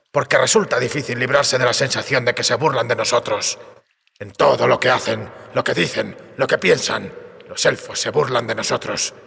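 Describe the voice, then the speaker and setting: An actor playing a gruff, bitter, world-weary monster hunter in an audiobook drama, with a dry, terse, serious delivery. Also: male voice, mid-range voice, pushed and bright, exaggerated voice. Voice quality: gravelly, husky. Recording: cave-like reverb.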